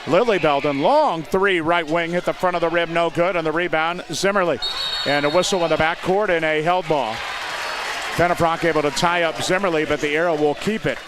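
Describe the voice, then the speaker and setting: American man, basketball play-by-play, clipped phrasing, slightly high voice, noisy gym with clear crowd behind. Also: a little fast. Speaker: play-by-play announcer. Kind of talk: live high school basketball commentary. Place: indoor high school gymnasium. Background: crowd noise and bouncing basketballs.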